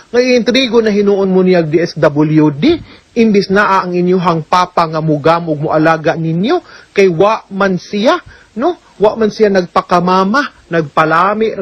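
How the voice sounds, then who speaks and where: A woman's voice: lowish voice, breathy edge, no echo. A woman hosting a radio legal advice show, answering listeners' letters in a firm, explanatory way and slipping in English phrases. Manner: mixes in English words and phrases.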